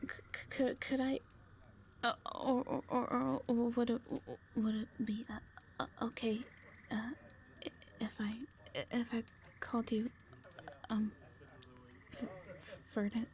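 A woman playing a shy, frightened, wounded owl beast-woman in an audio drama, stammering timidly in a soft, breathy, often whispering voice. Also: fairly high pitch, level tone, a little slow, slight background noise. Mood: bashful, hesitant. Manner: timid, heavily stammering, hesitant. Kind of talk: audio roleplay drama acting.

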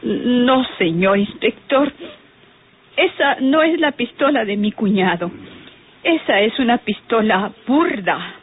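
An actress playing an earnest, formally polite woman giving testimony in an old radio drama, husky and deliberate, on a tinny recording. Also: a little high, expressive, full character voice. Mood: assured, formal. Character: earnest unmarried sister-in-law giving testimony to a police inspector. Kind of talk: old Spanish-language radionovela acting.

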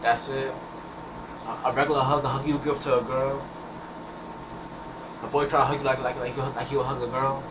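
A man's voice: medium pitch, little pitch movement, normal speed, long pauses. A teenage man, rambling casually over gameplay and often restarting his words. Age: teens. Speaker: gameplay channel host. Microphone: headset mic. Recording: echoey, distant, noisy room sound.

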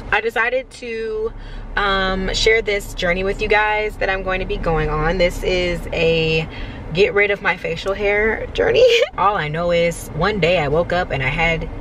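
A woman in her late twenties chatting candidly to her phone camera in a parked car, her talk jumping between high, lively bursts and low asides. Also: a little slow. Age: 20s. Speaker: vlogger. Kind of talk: casual vlog chat to camera. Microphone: phone camera at arm's length. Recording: noisy car with air blowing.

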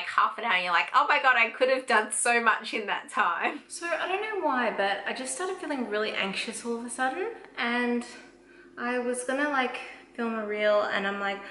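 A woman's voice: a little high, pushed and bright. A woman in her thirties with an Australian accent, chatting casually to the camera in a family haul vlog, gushing and rambling as she justifies her purchases. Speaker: family vlogger. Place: bright home room indoors.

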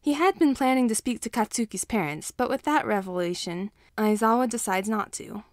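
A woman's voice: a little high, a little fast, no room sound, clean studio sound. A woman reading a story aloud as a scripted audiobook-style narration.

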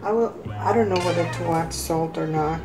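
Female home-cooking host, lowish hoarse voice, unhurried and halting, music behind. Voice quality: slightly hoarse. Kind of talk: casual cooking demonstration. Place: home kitchen, indoors.

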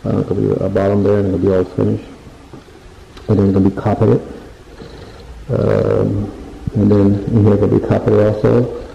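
A man's voice: fairly low pitch, monotone, normal speed, creaky, pauses often, warm tone, slight background noise. A man walking through his unfinished basement with a handheld phone, talking casually and rambling as he hunts for words and points things out. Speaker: home renovation vlogger. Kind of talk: casual walkthrough narration. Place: unfinished basement room with bare drywall, indoors. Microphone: handheld phone camera. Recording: echoey bare room, dull lo-fi sound.

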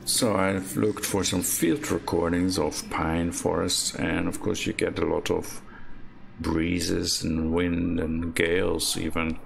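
A man slowly talking through his modular synth patch over a screen recording, halting with fillers as he points things out. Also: low-pitched, little pitch movement, vocal fry, a little hiss or hum. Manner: slow, halting explanation with many fillers. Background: synth music playing underneath.

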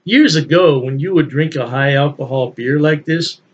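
A man's voice: on the high side, moderate pace, breathy edge, muffled recording. A man in his sixties chatting casually to camera as he hosts a review, enthusiastic and emphatic, often repeating phrases for emphasis. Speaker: beer reviewer, channel host. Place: small indoor room at home.

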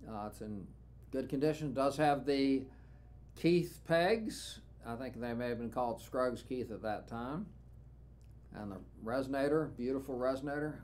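An older man, a banjo dealer, casually walking viewers through an instrument, unhurried and dotted with "uh" fillers, recorded in a small studio room. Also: medium pitch. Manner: relaxed, unhurried, with frequent "uh" fillers. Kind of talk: casual product walkthrough.

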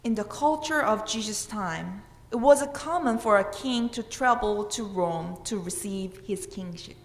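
A woman's voice: mid-range voice, unhurried. Korean-accented woman preaching, roomy church. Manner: earnest, with rising emphatic questions. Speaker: pastor. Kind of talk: sermon. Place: church sanctuary at a pulpit. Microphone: pulpit microphone. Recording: roomy church with long reverb.

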